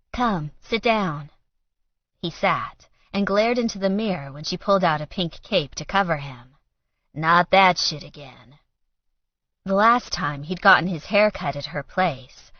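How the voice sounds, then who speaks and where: A woman's voice: deep voice, no echo, muffled recording. A woman reading scripted fiction as an audiobook narrator.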